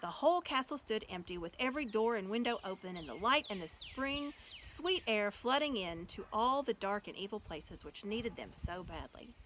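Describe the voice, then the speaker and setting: A woman reading a children's story aloud as an expressive storyteller. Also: medium pitch, normal speed, few pauses, a little hiss or hum.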